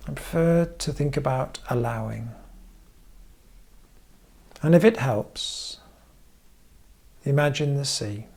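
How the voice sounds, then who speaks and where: Guy doing guided meditation, slow n measured, lots of vocal fry, pauses between little phrases. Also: mid-range voice, darkish tone. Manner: measured, pausing often between short phrases. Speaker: therapist leading guided meditation. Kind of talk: guided meditation, gentle instruction.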